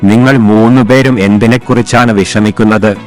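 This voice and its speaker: A wise old grandfather , acted by a man, from an animated moral tale. He has a fairly low voice and a measured, instructive manner. Light music plays underneath. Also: close to an everyday voice. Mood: advising. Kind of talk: animated moral story acting. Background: background music.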